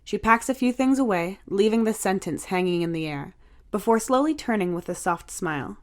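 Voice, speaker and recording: A woman's voice: medium pitch, animated, moderate pace. A woman reading scripted fiction aloud as an audiobook narrator.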